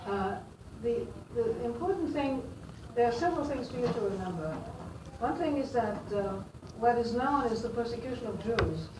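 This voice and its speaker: An older Austrian woman with German as her first language talks to students, pausing often with fillers and restarting her sentences. She sounds set back from the mic, in an echoey room with steady noise around her. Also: fairly high pitch. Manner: halting, many fillers and restarts. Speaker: guest speaker to students. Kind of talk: classroom talk. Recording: roomy, distant, noisy room.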